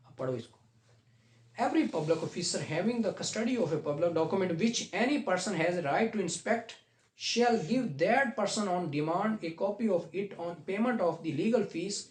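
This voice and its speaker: A man teaching a law class, reading legal text slowly and then explaining it conversationally to students. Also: fairly high pitch, a little mellow. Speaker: law lecturer. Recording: echoey classroom.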